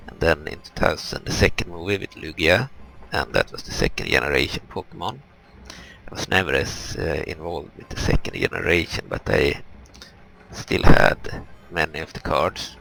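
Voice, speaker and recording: Very deep, gravelly man doing gaming commentary. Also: very animated, fairly bright tone, low-quality recording. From Europe. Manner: halting, rambling, explanatory. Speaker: gaming video host. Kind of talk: casual let's play commentary.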